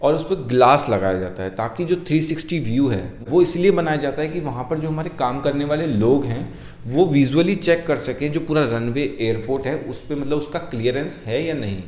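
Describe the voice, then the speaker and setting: A man's voice: mid-range voice, a little mellow. A man presenting an informal explanatory lesson, mixing English technical terms into his speech. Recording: echoey, roomy sound.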